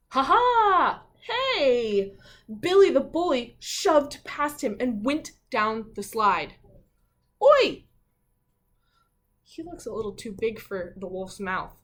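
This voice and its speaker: A woman teacher reading a picture book aloud to children, expressively, with dramatic pauses and her own thoughts along the way. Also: on the high side. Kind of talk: children's read-aloud with commentary.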